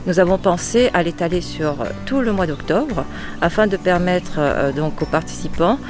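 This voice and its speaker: A woman explaining in an interview as a tourism office representative, halting and correcting herself. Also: on the low side, animated. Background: music.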